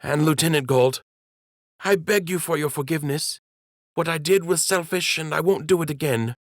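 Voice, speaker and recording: A man performs a young rabbit prince who is eager to please and quick to apologize. His voice sits well above the narrator's own low register, earnest and pleading. The audiobook sound is dry and close. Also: no echo, close to an everyday voice. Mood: contrite.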